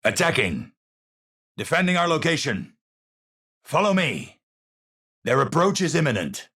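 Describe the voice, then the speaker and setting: An actor playing a cerebral, haunted, middle-aged scientist in a video game, speaking formally and precisely with theatrical, pensive phrasing. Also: male voice, a little high, big pitch swings, measured pace, a bit bright, breathy edge, exaggerated voice. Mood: measured, intent. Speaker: video game hero character. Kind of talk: scripted game voice lines, theatrical.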